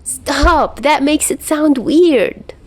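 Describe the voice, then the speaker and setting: A woman's voice: high voice, extremely slow, darkish tone, dry recording, pitched above the performer's own voice. Woman voicing a flustered, indignant teenage boy. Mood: embarrassed protest. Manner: indignant protests. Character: embarrassed, sullen teenage boy, pleading and easily flustered.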